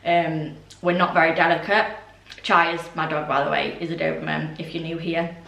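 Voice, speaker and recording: A young woman with a voice going hoarse, chatting casually through a home haul with lots of ums and asides. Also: sits low, flat delivery, moderate pace, sharp tone, reverberant, recorded from a distance, low-quality recording. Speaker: vlogger. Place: orangery at home, indoors.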